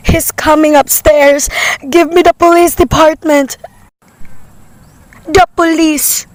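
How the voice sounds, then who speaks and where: A woman's voice: sits high, flat delivery, takes it slowly, a little hiss or hum, poor audio. A woman playing a nervous, demanding invalid wife alone at home in a radio play, with an imperious, fretful manner. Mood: terrified, pleading.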